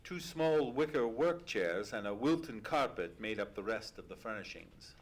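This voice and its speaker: A man playing an earnest, easily astonished gentleman sidekick who narrates an old-time radio play in a formal storytelling manner. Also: on the low side, lively intonation, moderate pace. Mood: measured storytelling. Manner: formal storytelling, exclaiming in surprise. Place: live theatre stage performance.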